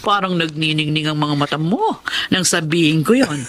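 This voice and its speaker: A woman's voice: deep voice, animated, measured pace, fairly bright tone. A woman with a Filipino accent playing a kindly, effusive middle-aged mother in a radio drama. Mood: teasing, approving.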